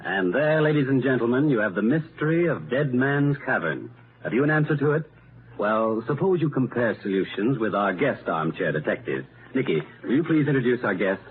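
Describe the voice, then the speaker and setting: An actor playing a self-assured young detective with polished delivery in a 1930s radio drama. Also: male voice, mid-range voice, animated, fairly quick, lightly acted. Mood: courteous. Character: brainy, self-assured young amateur detective, inspector's son. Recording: tinny 1939 radio broadcast.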